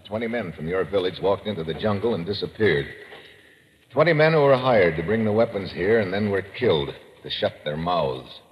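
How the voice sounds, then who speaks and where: An actor playing a tough, wry adventurer in an old radio drama, talking in terse, hard-boiled slang. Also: male voice, animated, moderate pace. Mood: grim, subdued. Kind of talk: 1950s radio drama acting. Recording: old radio recording, muffled, boomy reverb, hiss.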